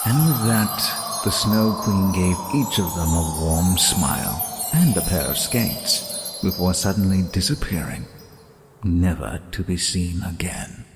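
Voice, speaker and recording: A man's voice: low voice, measured pace. A man giving scripted storybook narration as the narrator of an audio play. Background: loud music.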